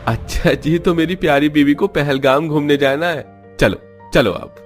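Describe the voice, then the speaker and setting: A man's voice: fairly high pitch, measured pace, soft background music, lightly acted. A man playing an affectionate, polite young husband in a cartoon story, courteous and tender. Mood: playful. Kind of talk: Hindi cartoon story dialogue.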